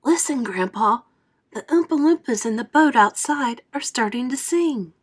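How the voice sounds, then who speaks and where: A woman reading aloud, voicing an awed little boy who speaks in an eager hush. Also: on the high side, lively intonation, normal speed, husky, pitched above the performer's own voice. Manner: hushed, eager.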